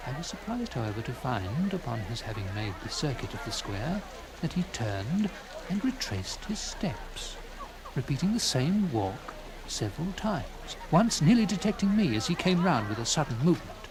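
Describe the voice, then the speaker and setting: A man narrating a gothic story in the first person, with theatrical, deliberate phrasing and dramatic pauses. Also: a little high, big pitch swings, poor audio.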